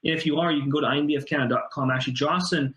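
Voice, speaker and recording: A man's voice: fairly high pitch, fairly even intonation, rapid-fire, a bit bright. A man hosting a casual online interview in an effusive, enthusiastic way, recorded over an echoey call. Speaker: interview host, mindset coach.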